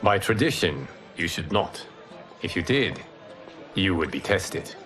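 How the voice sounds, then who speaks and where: An actor playing an ancient, wise and composed mentor god in a video game, speaking in measured, formal explanations. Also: male voice, low-pitched, big pitch swings, fairly bright tone, heavily acted. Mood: calm. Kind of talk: video game cinematic acting. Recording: music behind the voice.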